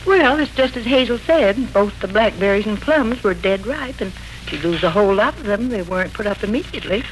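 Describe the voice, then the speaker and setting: A woman's voice: mid-range voice, animated, fairly quick, close to an everyday voice. An actress playing a grandmotherly, earnest and insistent family matriarch in a 1940s radio serial. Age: older. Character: grandmotherly family matriarch, gentle but stubborn about change. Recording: noisy 1940s radio recording.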